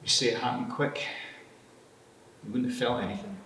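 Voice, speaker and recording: Heard at a distance in an echoey space with faint background noise, an actor plays a plain-spoken man in a screen drama. His medium-pitched voice is colloquial and matter-of-fact. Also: fairly even intonation, normal speed, a bit bright, lightly acted. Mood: sombre, matter-of-fact. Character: plain-spoken man breaking news of a death. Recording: echoey, distant, noisy with ambience.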